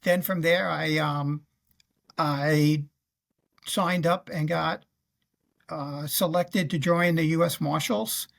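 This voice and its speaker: Gravelly New York man in his fifties. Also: a little high, steady tone, slow, pauses often, fairly bright tone. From Queens, New York. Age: 50s. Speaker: guest, retired federal law officer and navy veteran. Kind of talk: recounting career in an interview. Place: indoor room on a video call.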